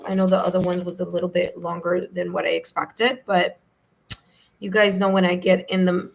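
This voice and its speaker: A woman with a New York accent and a slightly hoarse, raspy voice, hosting a live tarot stream in a friendly, chatty way. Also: fairly low pitch. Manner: friendly, chatty, laughs easily. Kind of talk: casual live-stream chat, greeting viewers.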